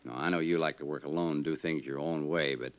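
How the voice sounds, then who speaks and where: A man's voice: rumbling low, steady tone, quick pace. An American actor playing an anxious, friendly insurance company man, earnest and fretful, in 1950s radio drama style. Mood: worried. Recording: muffled 1950s radio recording.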